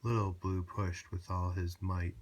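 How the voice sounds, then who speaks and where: A man narrating a children's picture book aloud in a measured, rhyming read-aloud manner. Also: low voice, level tone, a little mellow.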